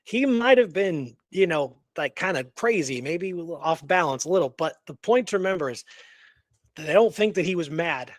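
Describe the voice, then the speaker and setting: A man's voice: on the high side, expressive, moderate pace, no room sound, studio quality. A man co-hosting a history show in casual, conversational discussion, often dropping in "you know".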